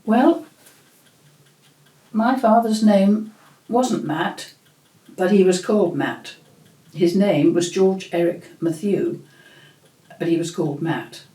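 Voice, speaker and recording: Slow, mellow-voiced older woman. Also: lowish voice. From England. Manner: slow, reflective, with fillers. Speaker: host. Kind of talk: storytelling. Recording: echoey room.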